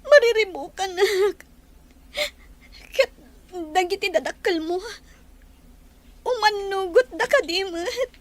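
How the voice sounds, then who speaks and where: A very high-pitched young woman in a radio melodrama. She is in love, earnest and emotional, with a pleading delivery. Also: lively intonation, slow pace, pauses often, full character voice. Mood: worried, tearful. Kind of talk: melodramatic radio drama acting.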